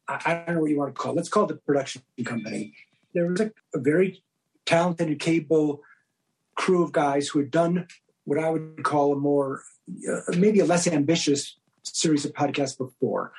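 Higher-pitched, husky man explaining. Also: darkish tone, poor audio. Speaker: podcast guest, audio drama director. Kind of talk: conversational interview answers.

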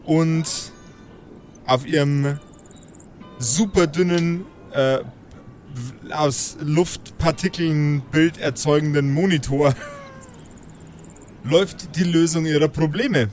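A man's voice: fairly high pitch, slow, pauses often, a little mellow, soft background music. A man hosting a tabletop role-play casually as game master.

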